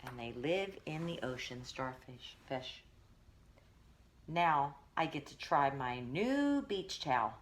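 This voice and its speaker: A woman's voice: a bass voice, sing-song, slow pace. A woman teacher reading a picture book aloud, stopping to explain things to young children.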